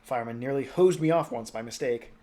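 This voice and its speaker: A man's voice: mid-range voice, fairly quick, warm tone, lightly acted. A man voicing a courteous, absent-minded old king with a genial manner. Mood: fond.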